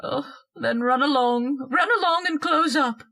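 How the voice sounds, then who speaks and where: A woman's voice: high voice, a little slow, sharp tone, full character voice. A woman playing a snobbish, scolding wife and party hostess in old-time radio horror style. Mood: scornful, aggrieved. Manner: haughty, scolding, theatrical declaiming.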